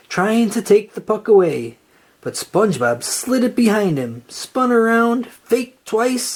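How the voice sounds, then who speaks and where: A narrator reading a children's storybook aloud in an animated style. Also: medium pitch, slightly rough.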